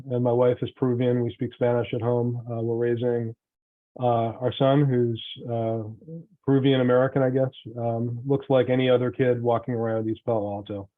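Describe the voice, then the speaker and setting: Man, earnest, conversational, mid pitch, tinny phone-like audio. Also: level tone, a little fast. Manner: earnest and conversational, thinks aloud. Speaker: city council candidate. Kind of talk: panel interview answer. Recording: tinny call-quality audio.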